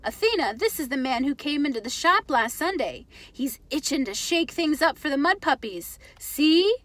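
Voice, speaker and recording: A woman's voice: sits high, sing-song, normal speed, a bit bright. A woman voicing an earnest, enthusiastic father who reads the newspaper aloud emphatically in a children's storybook.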